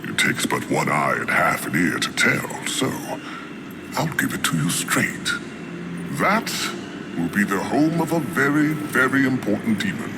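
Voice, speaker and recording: A flamboyant game demon, low and oily, with pitch that swoops wildly. He draws out his courtly, mocking phrases slowly and grandly, over noisy background ambience. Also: very animated. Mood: sly, boastful. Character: flamboyant, scheming male demon, oily and theatrical. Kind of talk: theatrical fantasy video game acting.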